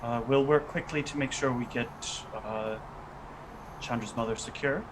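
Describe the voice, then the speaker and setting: A man with an average-pitched voice plays a tabletop roleplaying game. He improvises his part deliberately and in a businesslike manner. A little noise is behind him.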